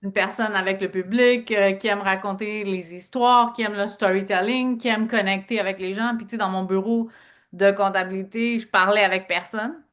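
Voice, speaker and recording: A woman's voice: medium pitch, steady tone, normal speed, a bit bright. A middle-aged woman from Quebec with a Québécois French accent, a guest telling her life story earnestly in an interview and leaning on filler phrases. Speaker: guest, speaker and author. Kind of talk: personal interview talk.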